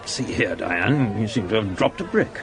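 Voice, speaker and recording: Sardonic, swaggering society rogue. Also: male voice, fairly low pitch, animated, moderate pace. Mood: challenging. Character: cynical, swaggering society rogue, a retired major. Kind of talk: radio drama acting.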